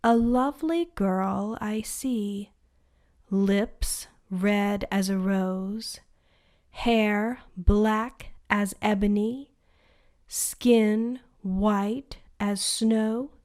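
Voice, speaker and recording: A woman voicing a magic mirror in a bedtime storybook reading, reciting slowly and solemnly. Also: medium pitch, steady tone, darkish tone. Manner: slow, measured recitation.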